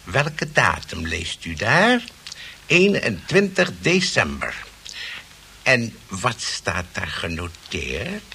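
A deep, gravelly gentleman of radio drama, boastful and easily riled. He needles his rival in formal speech, repeating words and blustering, with a forceful edge and slight recording noise. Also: wildly swooping pitch, slow pace, full character voice. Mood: flustered, blustering. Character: peevish, boastful male chess player, needling his rival. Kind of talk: radio play acting in Dutch. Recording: noisy old radio play recording.

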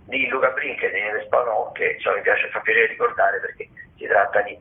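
A man, a theatre critic giving a radio listings rundown in a halting, rephrasing manner. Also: lowish voice, steady tone. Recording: thin tinny phone line.

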